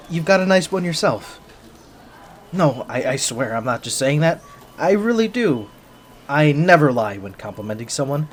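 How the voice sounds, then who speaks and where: A man's voice: medium pitch, slight background noise, close to an everyday voice. Man playing a nervous, stammering sweet bunny boy. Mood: sincere. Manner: stammering, hesitant, bashful, earnest. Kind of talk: intimate ASMR roleplay addressed to the listener.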